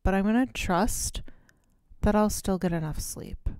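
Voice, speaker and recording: A woman's voice: medium pitch, unhurried, a little mellow, smooth, no echo, studio quality. A woman, co-hosting a podcast in casual chat, candid and rambling with casual swearing. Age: 30s. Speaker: podcast co-host. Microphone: close podcast mic.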